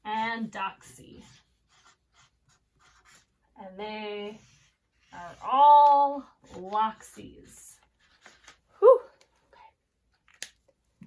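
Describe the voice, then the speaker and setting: A woman preschool teacher reading aloud and leading a lesson, deliberate and expressive for small children. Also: medium pitch, phone-quality sound.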